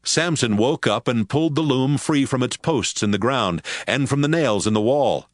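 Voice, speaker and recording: A man's voice: mid-range voice, animated, normal speed, no room sound, studio quality. A man giving scripted narration for a Bible audio drama.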